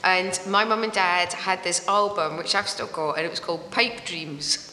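A middle-aged woman with a Scottish accent talks casually as a guest, quick to laugh and slipping into voices for her anecdotes. Her voice is fairly low but bright and forward. It rings in a roomy hall with a long tail and faint ambience behind. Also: slight background noise. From Scotland. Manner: chatty, laughs often, acts out voices in anecdotes. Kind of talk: casual interview chat.

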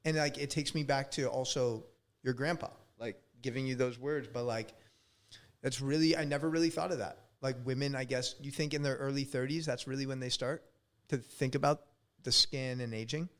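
A man in his thirties hosting a podcast, chatting casually and relaxed, with lots of "like" and some swearing. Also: medium pitch, normal speed. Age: 30s. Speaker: podcast host. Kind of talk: casual interview chat.

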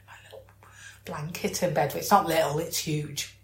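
A woman's voice: deep voice, fairly even intonation, normal speed, a little hiss or hum. A woman talking in a casual, chatty and informal way, often searching for words. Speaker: podcast host. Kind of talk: casual chat. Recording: echoey room.

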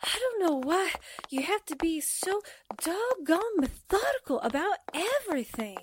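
Very high-pitched, excitable young sidekick. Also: female voice, unhurried, slight background noise, exaggerated voice. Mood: impatient. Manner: folksy exclamations, exasperated nagging. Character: jittery, impatient, excitable young female sidekick. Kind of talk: 1940s radio drama acting.

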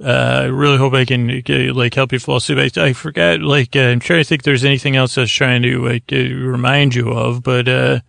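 A man with a creaky voice hosts a sleep podcast in a meandering, halting, self-deprecating monologue that keeps trailing off mid-thought. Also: mid-range voice, flat delivery, a bit bright, dry recording, studio quality. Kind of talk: rambling, meandering bedtime monologue.

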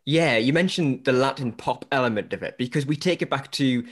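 A man's voice: medium pitch, brisk. A man with a Newcastle accent hosting a podcast, chatty and full of enthusiastic praise. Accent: Newcastle English. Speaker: podcast host and interviewer. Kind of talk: casual podcast chat.

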